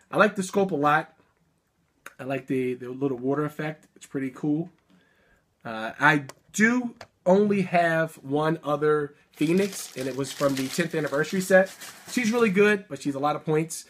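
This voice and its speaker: Man in his thirties, slightly high voice, upbeat unboxing chat at a webcam, faint room hiss. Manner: upbeat, chatty, quick little laughs. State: a bit sick, sniffling. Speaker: toy unboxing vlogger. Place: indoor room full of collectibles.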